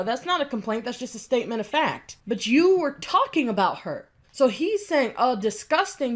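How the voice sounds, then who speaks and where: Woman, slightly high voice, sarcastic, argumentative, ranting commentary. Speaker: commentary youtuber. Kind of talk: reaction rant over a clip.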